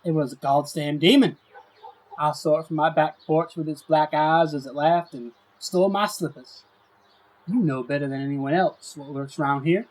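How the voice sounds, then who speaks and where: A man acts out a superstitious old man from the country in an audio drama. His voice sits on the high side. He talks in a folksy, indignant grumble and stubbornly holds his ground. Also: steady tone, moderate pace, close to an everyday voice, rough recording. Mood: fearful, indignant. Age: older. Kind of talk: audio drama character acting.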